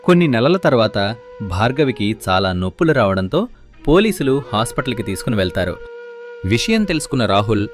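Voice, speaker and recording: A man narrating a scripted storybook tale in a steady way. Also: medium pitch, brisk. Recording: faint background music.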